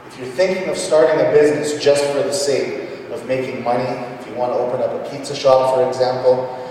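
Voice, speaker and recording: A man giving an introductory business seminar as a presenter, speaking haltingly with frequent pauses mid-sentence, in a large meeting hall. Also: medium pitch, fairly even intonation, darkish tone. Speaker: presenter, small business owner. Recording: echoey hall, distant mic, noisy ambience.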